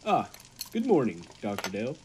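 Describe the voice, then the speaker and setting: An actor playing a grave, calculating laboratory assistant in an old-time radio drama, speaking formally with a husky voice. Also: male voice, lowish voice, huge pitch swings, normal speed, a little mellow, a little hiss or hum. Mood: calm, businesslike.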